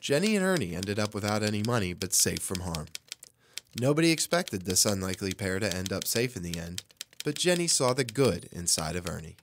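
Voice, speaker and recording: A man's voice: lowish voice, sing-song, fairly quick. A man playing a storyteller, reading scripted narration for an audio drama. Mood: reflective. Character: male storyteller wrapping up the tale. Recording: clattering typewriter noise behind.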